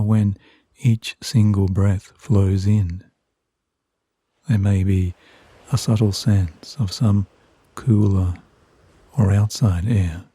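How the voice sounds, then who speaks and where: A man narrating guided sleep hypnosis in a soothing, hushed, measured way with long pauses between phrases. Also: fairly low pitch, slightly rough, no echo.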